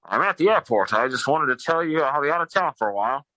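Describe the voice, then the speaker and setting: A man's voice: a little high, expressive, quick pace, sharp tone, no room sound, poor audio. A man playing a bumbling, self-important government agent, breezy and pompous, in old-time radio comedy style.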